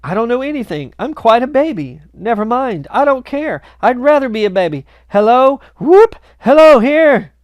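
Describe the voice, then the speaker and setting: A man's voice: extremely high pitch, sing-song, normal speed, hardly any pauses, no room sound, lo-fi sound, voice pushed up. A man voicing an elated, reformed old miser from a storybook, exuberant and full of repeated exclamations. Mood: giddy.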